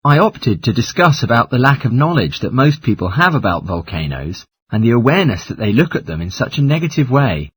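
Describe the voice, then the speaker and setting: A man reading scripted listening test dialogue and lecture in a formal, measured, articulate way, with a slightly husky voice. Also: mid-range voice, slight background noise, close to an everyday voice.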